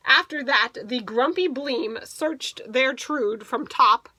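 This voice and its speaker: A woman teacher reading a picture book aloud to children, playfully expressive, stretching words for emphasis and adding asides. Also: sits high, takes it slowly, slightly rough, no room sound.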